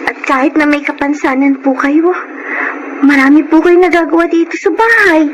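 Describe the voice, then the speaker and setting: Woman playing high-voiced, earnest young teenage girl. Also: moderate pace, noisy background, natural voice. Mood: tender, reassuring. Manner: polite, earnest. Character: earnest, hardworking thirteen-year-old poor girl, devoted daughter. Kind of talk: Tagalog radio drama acting with first-person narration. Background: music.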